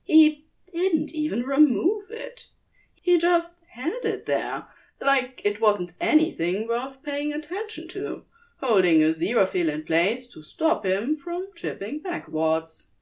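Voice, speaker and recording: A woman's voice: a little high, very animated, slow pace, a little mellow. A woman narrator reading scripted fiction, telling the story between lines of dialogue.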